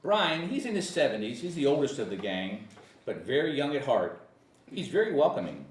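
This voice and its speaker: Male narrator for a table read, animated delivery, mid-pitched, off-mic with faint hiss. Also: moderate pace, roomy sound, recorded from a distance. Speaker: narrator of screenplay directions.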